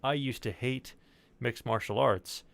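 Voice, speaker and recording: A man's voice: mid-range voice, measured pace, gravelly, no echo. A man hosting a casual chat show, talking in a wordy, joking way and swearing freely. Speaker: podcast and stream host.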